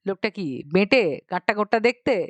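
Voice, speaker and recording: A woman's voice: mid-range voice, expressive, fast, dry recording, lightly acted. A woman voicing an irritable, bossy village uncle who scolds and interrogates, in an audio story. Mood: questioning.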